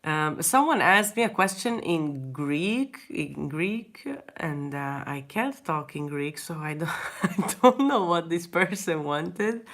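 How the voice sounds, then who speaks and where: A young woman with a Hungarian accent, speaking English as a second language, chatting casually with viewers in a livestream Q&A, hesitant, with many restarts and soft laughs. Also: deep voice, lively intonation, slow pace. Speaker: artist answering viewer questions.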